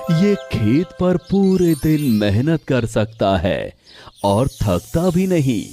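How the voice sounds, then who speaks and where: Humble, devout village farmer for a cartoon fable, male actor; mid-pitched, unhurried, very animated, grateful. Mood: proud, grateful. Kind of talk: animated moral story character dialogue.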